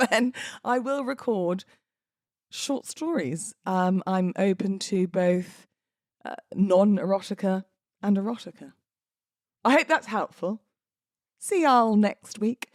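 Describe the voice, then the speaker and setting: A British woman hosting a podcast solo, talking in a casual, cheeky, expressive and informal way. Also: mid-range voice, takes it slowly, long pauses, no echo, studio quality. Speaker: podcast host.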